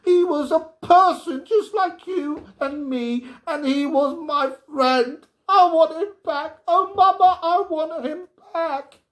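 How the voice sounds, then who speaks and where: Man voicing a shrill, tearful little girl. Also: slow pace, hardly any pauses, fairly bright tone, full character voice. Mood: wailing, fierce. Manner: childlike, pleading, fierce when upset. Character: tearful, excitable little girl who adores her robot. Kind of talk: storybook character reading.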